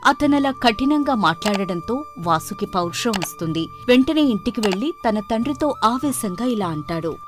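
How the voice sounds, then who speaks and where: Expressive woman storyteller over music. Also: on the high side, normal speed, hardly any pauses. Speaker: narrator. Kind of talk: scripted storytelling narration.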